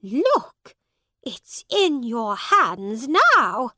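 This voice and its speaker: A woman voicing an angry village woman who shouts accusations after her bag is stolen. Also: fairly high pitch, wildly swooping pitch, drawn-out words, no room sound, studio quality, pitched above the performer's own voice, full character voice. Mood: shrill accusing.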